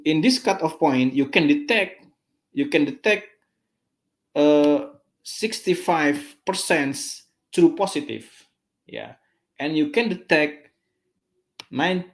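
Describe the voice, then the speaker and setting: A man from Indonesia with an Indonesian accent, giving an online lecture in a halting way, full of fillers and restarts. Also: on the high side. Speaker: guest lecturer. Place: online live stream.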